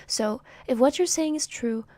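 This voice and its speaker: A woman's voice: sits high, normal speed, a little mellow, no echo, close to an everyday voice. A woman voicing a boastful, wisecracking male sky god while reading a comic aloud on a stream. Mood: cocky. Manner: cocky, wisecracking.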